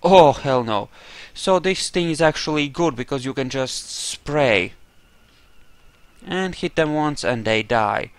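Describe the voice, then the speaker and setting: A man's voice: fairly high pitch, expressive, slow, clean tone, no room sound. A man giving casual commentary while he plays through a video game in a roleplaying style. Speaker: gamer doing a roleplay playthrough.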